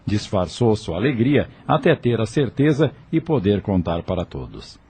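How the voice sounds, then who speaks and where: A man's voice: lowish voice, very animated, moderate pace, warm tone, slightly rough. A man narrating a scripted audio novel in a dramatic storytelling manner.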